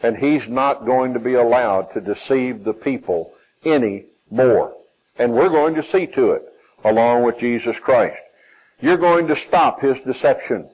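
A man with a Southern US accent preaching a sermon, emphatic, with his pitch climbing and falling and some phrases drawn out. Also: a little mellow, low-quality recording.

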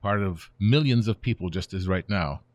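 This is a man in his seventies with a very deep voice, whose first language is Ukrainian. He tells history in a relaxed, storytelling way, and his voice often trails off softly at the ends of phrases. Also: moderate pace, no echo, dull audio. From born in Germany, raised in the United States. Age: 70s. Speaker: guest. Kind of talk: conversational interview, explaining history.

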